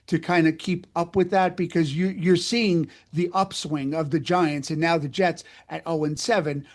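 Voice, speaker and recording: A man's voice: a little high, steady tone, moderate pace. A man co-hosting a conversational sports interview, asking long-winded questions. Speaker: interviewer, co-host.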